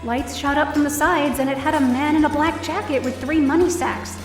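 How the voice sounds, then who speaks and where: Woman acting troubled young woman, highish voice, first-person drama narration, roomy and slightly distant, music behind. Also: steady tone, brisk, lightly acted. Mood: hesitant, curious. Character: distressed, down-on-her-luck young woman telling her story. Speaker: lead actor in audio drama.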